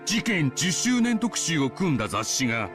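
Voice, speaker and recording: An actor playing a talkative, self-satisfied mystery enthusiast in a Japanese visual novel, explaining with theatrical relish. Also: male voice, a little high, sing-song, quick pace. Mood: relishing explanation. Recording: game audio with clear music behind.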